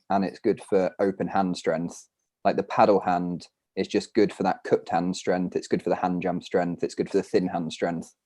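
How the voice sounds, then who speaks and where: Low-pitched British man chatting casually. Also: a little mellow. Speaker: professional rock climber answering questions. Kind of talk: casual live Q&A chat.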